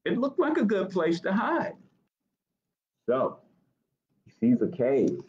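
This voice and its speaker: A middle-aged American man reading a picture book aloud to children, expressively, pausing to ask questions. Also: sits high, wildly swooping pitch, darkish tone, dull audio. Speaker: library storytime reader. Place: small office, indoors.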